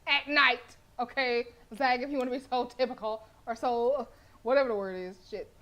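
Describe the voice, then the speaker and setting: A young woman streaming a game and reading along, talking in a loud, playful, slangy and animated way. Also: fairly high pitch, moderate pace. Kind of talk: casual gameplay commentary and reading.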